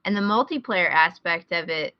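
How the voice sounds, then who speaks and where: Lowish-voiced woman in casual, musing podcast chat. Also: expressive, moderate pace, dry recording, dull audio. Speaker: podcast co-host. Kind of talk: casual chat, asking questions.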